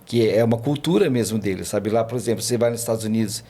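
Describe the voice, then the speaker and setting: A man's voice: fairly low pitch, fast talker, mellow, husky. A man, a podcast guest telling travel stories in a rambling casual way, full of fillers and restarts. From Brazil. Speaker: podcast guest, tour guide. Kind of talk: casual chat, storytelling.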